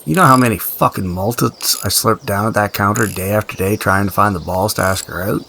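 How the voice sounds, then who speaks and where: An actor playing a practical, blunt but uneasy survivor and tinkerer in an audio drama, talking in a halting, gruffly casual, profane way. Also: male voice, on the low side, pushed and bright, a little hiss or hum. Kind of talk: audio drama dialogue, naturalistic.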